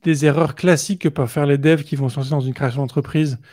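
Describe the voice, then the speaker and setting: A man's voice: fairly high pitch, fairly even intonation, quick pace, mellow. A man hosting a podcast, asking questions in a casual, hesitant way with many fillers. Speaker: podcast host.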